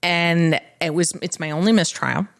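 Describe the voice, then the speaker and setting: Lower-pitched woman telling stories casually. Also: normal speed, vocal fry. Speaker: trial attorney and podcast host. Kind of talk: casual conversational storytelling.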